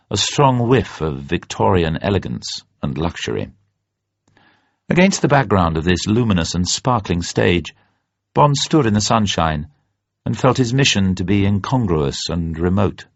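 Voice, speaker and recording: A man reading a novel aloud as dramatic narration, measured, literary and expressive. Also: sits low. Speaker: narrator, audiobook reader. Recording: dull, roomy recording.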